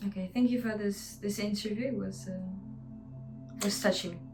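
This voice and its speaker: A woman asks an interviewee brief, quiet questions. She sounds set back from the microphone, with faint music underneath. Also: medium pitch, fairly even intonation, normal speed, vocal fry, far from the mic.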